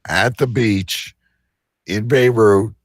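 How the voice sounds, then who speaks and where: A man's voice: lowish voice, slow, breathy edge, no echo, clean studio sound. A man hosting a casual live talk show, teasing and prodding his guests with joking questions.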